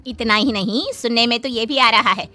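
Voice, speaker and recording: A woman's voice: a little high, normal speed, sharp tone. A woman voicing a nosy, knowing village neighbour who gossips in a cartoon story. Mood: curious, gossipy.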